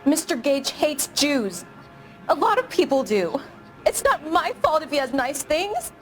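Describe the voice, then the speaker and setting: An actress playing an evasive young shop clerk giving a halting witness account in a video game. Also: female voice, high-pitched, big pitch swings, a bit bright, soft background music, heavily acted. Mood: subdued. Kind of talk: dramatic video game acting.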